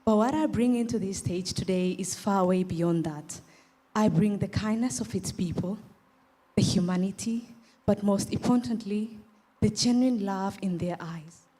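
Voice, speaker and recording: A woman's voice: medium pitch, mellow. A young woman from Tanzania with a Tanzanian accent, giving a prepared advocacy speech on stage as a pageant contestant in an earnest, emphatic manner. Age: young adult. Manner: earnest, emphatic, deliberate phrasing with rhetorical repetition.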